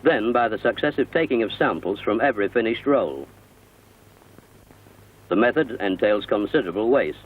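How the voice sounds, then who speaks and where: A British man narrating a scripted 1960 industrial newsreel in a formal, measured, explanatory manner, recorded as a voice-over. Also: lowish voice, lively intonation, husky. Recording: muffled, narrow-band vintage film soundtrack.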